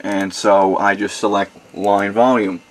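A man's voice: on the low side, little pitch movement, measured pace. A man giving a methodical step-by-step tutorial at his home desk, talking plainly as he works through the steps. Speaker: tutorial host. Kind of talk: step-by-step how-to demonstration. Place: home desk with computer and mixer.

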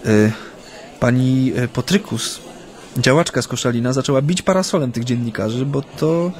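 Aktor jako refleksyjny, wahający się japoński działacz na wygnaniu. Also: male voice, medium pitch, a little mellow, close to an everyday voice. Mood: reflective, reminiscing. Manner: hesitant, reflective, pausing with hums. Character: thoughtful exiled Japanese Solidarity activist, proud, homesick. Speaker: actor in radio play. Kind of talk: naturalistic radio drama, reminiscing in interview.

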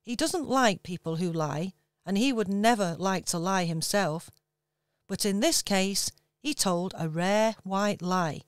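Woman narrating a children's story, smooth voice. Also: medium pitch, no echo, studio quality. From England. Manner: gentle, measured storytelling.

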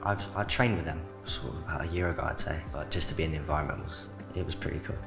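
A man's voice: extremely low pitch, quick pace, vocal fry. A young man in his late teens from south London with a South London accent, a young footballer answering interview questions in short, plain replies with "like" as filler. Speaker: academy footballer. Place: indoor club media studio. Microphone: handheld mic. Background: background music.

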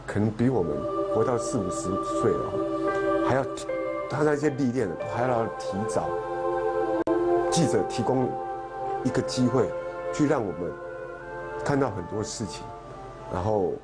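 A middle-aged man from southern Taiwan with a Taiwanese Mandarin accent, speaking reflectively in short, halting phrases. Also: medium pitch, expressive. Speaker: film director. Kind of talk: reflective interview talk. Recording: loud music and crowd noise behind.

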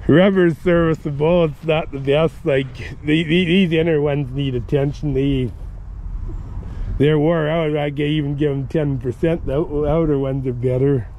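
A man's voice: fairly high pitch, steady tone, moderate pace, warm tone, a little hiss or hum, poor audio. A man giving plainspoken, folksy walkaround commentary on farm equipment, recorded outdoors on a handheld camera. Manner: folksy, plainspoken, fond of old machinery. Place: outdoors on an auction yard.

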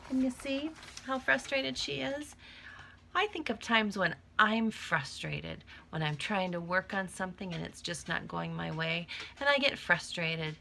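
Middle-aged female storyteller for young kids, unhurried and expressive, with some creak in the voice. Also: medium pitch. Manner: expressive, acts out feelings with emphasis and whispers. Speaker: teacher reading to young children. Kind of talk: picture-book read-aloud with chatty asides to children. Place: small cluttered room at home, indoors.

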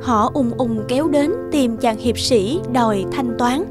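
A woman's voice: on the high side, a little slow, over music. A woman narrator reading a scripted storybook tale.

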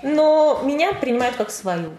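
Guest, woman in her thirties from Kazakhstan; talkative and laughing; echoey home room, loud background hiss. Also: sits high, lively intonation, measured pace. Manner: chatty, laughs often while telling stories. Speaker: interview guest. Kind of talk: casual interview chat. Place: home room at a round table. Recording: roomy sound with loud hiss.

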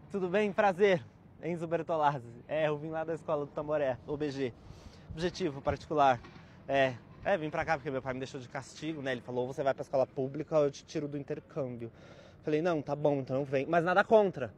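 A man's voice: on the high side, voice pushed down. A man playing a snobbish rich schoolboy who talks in a condescending drawl. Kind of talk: comedy sketch.

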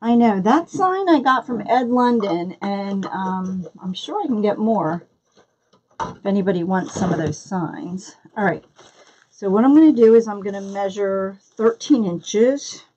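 A woman with a Philadelphia accent talks slowly through a live craft demonstration, her voice a little husky. She chats along and greets viewers by name between steps. The recording sounds dull and lo-fi. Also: mid-range voice, animated, mellow. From Philadelphia area, US. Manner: chatty, greets viewers by name while working. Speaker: craft tutorial host.

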